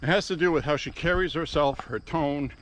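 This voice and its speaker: A man who once worked in radio, talking slowly and deliberately while walking on a country road, recorded through a Bluetooth earpiece. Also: on the high side, fairly bright tone, slight background noise, poor audio. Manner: slow, deliberate radio-trained delivery. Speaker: walking vlogger, former radio host. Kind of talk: casual walking vlog monologue. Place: outdoors on a quiet country road. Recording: faint crickets outdoors. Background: crickets and insects.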